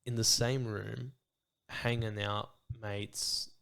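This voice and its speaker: A man's voice: fairly low pitch, level tone, slow, gravelly, a bit bright, smooth. A young Australian man hosting a podcast, chatting in a laid-back, earnest way and calling his guest bro and mate. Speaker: podcast host. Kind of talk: casual chat.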